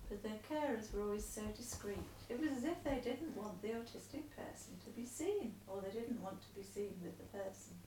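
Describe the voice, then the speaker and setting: A woman's voice: mid-range voice, expressive, brisk, hardly any pauses, dark tone, far from the mic, slight background noise. A woman, patiently coaxing and encouraging as she reads a letter aloud at home. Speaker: carer reading a letter aloud. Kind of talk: casual home video, reading aloud. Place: room at home. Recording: echoey room, voice off-mic.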